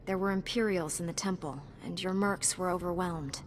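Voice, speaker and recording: A woman playing a calm, dutiful Jedi Knight heroine in a video game, with an earnest, composed delivery. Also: on the low side, moderate pace, a little hiss or hum, natural voice. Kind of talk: scripted video game dialogue.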